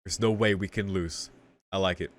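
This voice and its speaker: A man's voice: deep voice, steady tone, normal speed. A man streaming a visual novel, reading the story text aloud and reacting in casual, slangy language. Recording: music behind the voice. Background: game music.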